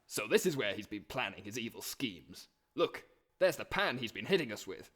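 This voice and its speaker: A man's voice: fairly low pitch, sing-song, normal speed, pushed and bright, exaggerated voice. A man playing a brash, dim American adventurer in a comic puppet musical, with an American accent. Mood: suspicious. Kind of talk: comic puppet show stage acting.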